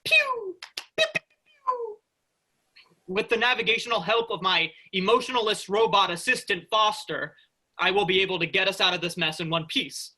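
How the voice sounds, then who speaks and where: Man acting a bright-voiced restless space freighter worker. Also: high voice, sing-song, moderate pace, heavily acted. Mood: playful, grandiose. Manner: informal, exasperated. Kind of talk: virtual staged reading of a sci-fi play.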